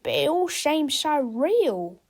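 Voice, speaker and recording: A woman's voice: high voice, big pitch swings, slow, darkish tone, pitched above the performer's own voice, exaggerated voice. A woman voicing a frightened, bewildered lady in a children's storybook. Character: frightened, bewildered adult woman, easily convinced. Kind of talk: children's storybook read-aloud.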